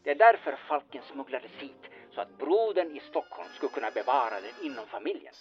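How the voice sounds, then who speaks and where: A man's voice: a little high, sing-song, lo-fi sound, faint music behind. A man playing a bossy, scheming small-time fixer in an audio drama, insistent, sardonic and conspiratorial. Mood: conspiratorial, wry.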